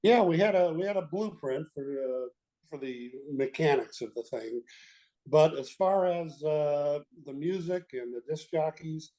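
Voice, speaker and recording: Older man with a mellow voice. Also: fairly high pitch, measured pace, poor audio. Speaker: guest, veteran radio executive. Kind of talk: reminiscing interview talk.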